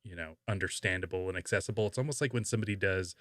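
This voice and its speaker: A man hosting a podcast, chatting casually and haltingly as he thinks aloud. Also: deep voice, no echo, studio quality. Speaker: podcast host. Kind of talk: casual conversational podcast talk.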